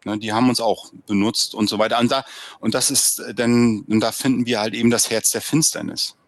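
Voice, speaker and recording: A man talks at a mid pitch, thinking aloud and circling back with small fillers and quick tag questions. He explains at length, and his voice sounds close and dry, with no room around it. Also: steady tone, no room sound. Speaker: author and former soldier, interview guest. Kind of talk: reflective conversational interview answer.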